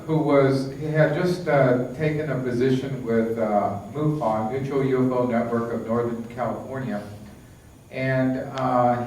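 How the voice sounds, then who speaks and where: A man's voice: mid-range voice, darkish tone. Man lecturing in an echoey hall. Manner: conversational, many fillers and restarts. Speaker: lecturer, book author. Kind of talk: conference lecture. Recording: echoey hall, distant, faint hiss.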